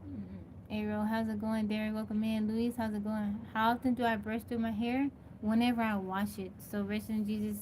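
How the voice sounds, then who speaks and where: A woman's voice: mid-range voice, little pitch movement, moderate pace, a little mellow. Texas woman chatting on a live stream, reading out comments, noisy background. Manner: chatty, greets viewers by name, answers questions. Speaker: livestream host.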